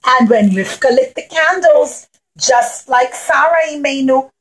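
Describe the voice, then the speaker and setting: A woman telling a puppet-show story to young children in simple, repetitive phrases, as an animated storyteller. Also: sits high, unhurried, slight background noise, rough recording.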